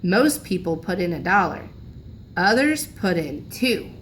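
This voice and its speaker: A woman's voice: on the low side, very animated, measured pace. Woman reading a storybook over background noise. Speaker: teacher reading a storybook aloud. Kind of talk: expressive children's storybook read-aloud.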